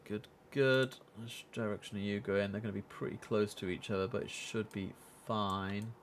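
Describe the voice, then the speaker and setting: A man's voice: fairly low pitch, fairly even intonation, normal speed. A young man muttering casual gaming commentary to himself, switching into clipped air traffic controller phrases. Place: indoor home streaming setup. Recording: noisy room with keyboard clicks.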